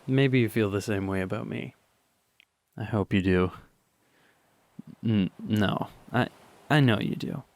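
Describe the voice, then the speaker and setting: A young man acting a lovesick, anxious teenage high school boy in an audio drama monologue, speaking haltingly and confessionally. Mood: wistful, tender.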